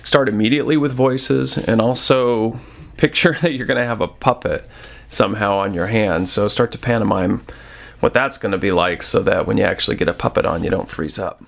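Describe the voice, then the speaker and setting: A man's voice: on the low side, fairly quick, gravelly. A man giving informal, encouraging instructional narration as a puppetry teacher, in a conversational way.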